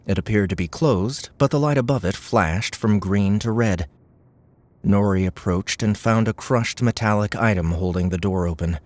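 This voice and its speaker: A man's voice: lowish voice, a little mellow, no echo. A man reading scripted fiction as an audiobook narrator.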